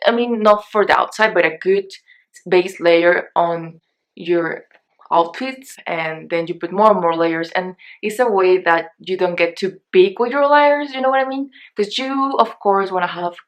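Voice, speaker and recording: A young Mexican woman talks through her shopping haul in English, which is not her first language, and her Mexican accent carries through. Her voice sits a little low for a woman. She rambles along casually, stopping often for fillers and restarts. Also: a little slow. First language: Spanish. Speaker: beauty vlogger.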